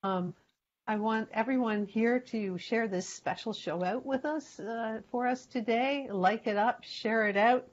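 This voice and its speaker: A woman's voice: fairly high pitch, a little slow, highs cut off. A woman hosting a spiritual talk show, opening it in a rambling way full of fillers over a video call. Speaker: host.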